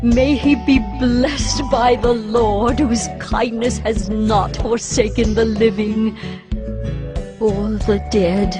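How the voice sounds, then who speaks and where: Slow-spoken, devout older mother-in-law. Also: a little high, fairly even intonation, over music. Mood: grateful, blessing. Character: caring, devout older mother-in-law advising a young woman. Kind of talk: dramatized scripture acting.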